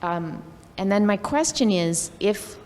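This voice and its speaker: A woman putting a question at an academic discussion, speaking deliberately and pausing between phrases. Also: medium pitch, animated, a little mellow. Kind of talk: conference question and commentary. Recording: echoey hall with faint background noise.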